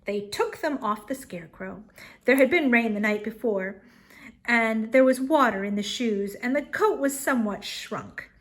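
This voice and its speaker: A woman storyteller reading a children's tale aloud expressively, with dramatic pauses and emphasis. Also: on the high side.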